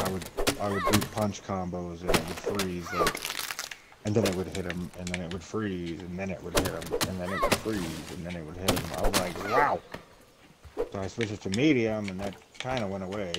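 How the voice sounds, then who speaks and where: A man's voice: sits low, fairly even intonation, normal speed. A man chatting casually while gaming, rambling and swearing freely. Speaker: gamer talking while playing. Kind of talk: casual chat. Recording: clearly noisy game-session mic, clicks.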